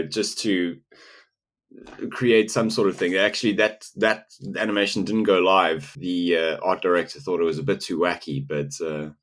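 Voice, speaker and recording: South african guy, english not his first language, really low voice, lots of um and uh. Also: a bit bright. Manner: hesitant, many restarts and fillers. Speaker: illustrator and animator guest. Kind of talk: casual interview.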